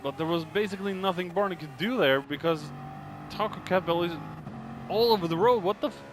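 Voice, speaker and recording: A man giving excitable, informal live race commentary with hesitations, his voice strained and starting to give out. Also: high-pitched, brisk, background noise. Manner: exclamatory, informal, peppered with hesitations. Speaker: esports racing commentator.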